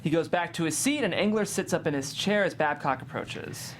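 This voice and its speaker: A man's voice: a little high, expressive, a little fast, fairly bright tone, a little hiss or hum. A man reading screenplay stage directions as narrator at a scripted table read.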